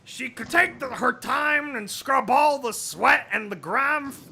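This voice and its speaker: A man putting on a raspy, strained, grating comic narrator voice to read a story in a mock-dramatic way. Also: very high-pitched, animated, unhurried, pushed and bright, slight background noise, full character voice. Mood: strained, exaggerated. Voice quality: raspy, gravelly. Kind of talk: mock-dramatic reading of fan fiction.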